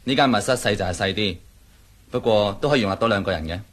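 A man's voice: on the low side, fast talker, lightly acted. An actor playing a matter-of-fact technician in a Cantonese radio drama, explaining his work.

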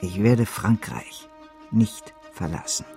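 Fervent money speculator in a german radio play, grand and visionary, music behind him. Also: male voice, on the low side, slow pace, creaky, warm tone. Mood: fervent, defiant. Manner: declamatory, visionary. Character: fervent male financier and speculator, grand and driven. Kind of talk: German radio drama acting.

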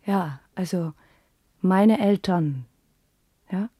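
Slow-spoken, wistful woman. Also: lowish voice, mellow, husky, no room sound, clean studio sound, exaggerated voice. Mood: wistful, reflective. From East German mountain village. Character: earnest, dreamy, unsettled East German working woman, Frau Baldauf. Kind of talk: German radio play acting, intimate monologue and dialogue.

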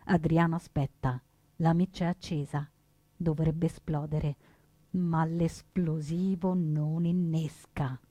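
A woman playing an earnest, proud young partisan who narrates a scripted stage drama with deliberate, dramatic phrasing. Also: low voice, fairly even intonation, warm tone, no room sound. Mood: tense. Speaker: storyteller narrator.

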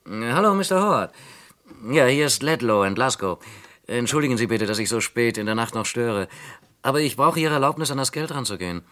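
Actor as a probing investigator, lively intonation. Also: male voice, mid-range voice, close to an everyday voice. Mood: urgent, polite. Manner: measured questioning, polite, insistent. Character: persistent, probing male investigator, calm and polite. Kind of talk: German radio crime play acting.

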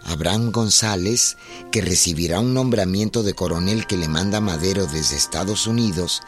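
A man's voice: deep voice, normal speed. A man reading scripted narration for a historical radio drama. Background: faint music.

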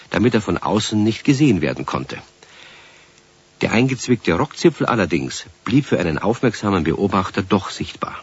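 A man narrating a children's radio play as its storyteller, with a husky voice and scripted delivery. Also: low-pitched, heavily acted. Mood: concerned, storytelling. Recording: dull 1970s radio play recording.

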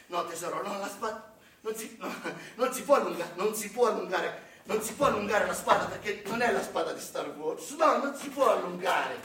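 A frazzled, short-tempered Santa Claus, as a man acts him out on stage, his voice high and sugary before it snaps into shouting. The sound is distant and roomy, caught from a live stage with a noisy background. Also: animated, moderate pace, creaky, crowd noise. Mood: coaxing. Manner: sugary coaxing that tips into shouting. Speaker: stage actor in a comic monologue. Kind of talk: live theatre comedy monologue. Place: theatre stage.

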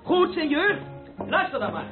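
Very high-voiced humble street singer. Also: male voice, sing-song, normal speed. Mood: grateful, eager. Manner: deferential, polite, eager to please. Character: humble, grateful male street singer, poor and courteous. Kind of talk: Dutch radio play acting. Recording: muffled old radio recording with music.

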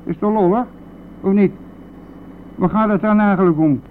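A man's voice: high voice, moderate pace, natural voice. An actor playing a chatty, coarse, self-pitying, hard-drinking bus driver who needles his passenger in a colloquial radio-drama style. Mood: boisterous. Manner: garrulous, jovial, needling, colloquial. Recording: tinny, band-limited, noisy old radio-play recording.

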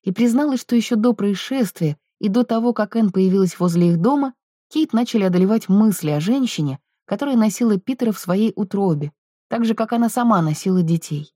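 Woman, audiobook narrator, mellow warm voice, dry close sound, measured literary storytelling. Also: medium pitch, expressive, no echo. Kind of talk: scripted literary narration with character dialogue.

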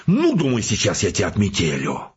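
An actor playing a boastful, excitable male scientist in a radio play, telling his tale in blustering, colloquial speech with a rough voice. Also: on the low side, a little fast, highs cut off, heavily acted. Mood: indignant. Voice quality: husky, rough.

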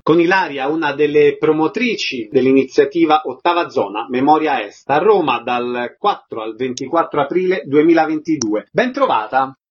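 Radio interviewer, male. Slightly high, raspy voice, concise presenting style, dull lo-fi sound. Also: lively intonation, moderate pace, hardly any pauses. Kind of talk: radio interview, short questions and scripted introductions. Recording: muffled lo-fi radio audio.

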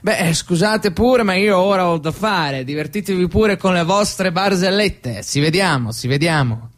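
An actor playing a slippery, smug local boss in a radio drama, jovial, mocking and evasive. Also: male voice, sits high, expressive, moderate pace. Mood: mocking, dismissive.

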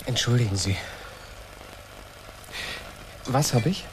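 A man's voice: medium pitch, takes it slowly, natural voice. A man acting in a German radio play as a tormented, obsessive engineer, agitated, pleading and insistent. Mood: anxious, obsessed. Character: tormented, obsessive male engineer hounded by a whirring. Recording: clearly noisy old radio production.

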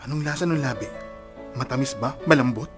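A man's voice: medium pitch, fairly quick, music in the background, close to an everyday voice. An actor playing a nosy young male friend in a Filipino radio drama, playful and prodding. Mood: teasing.

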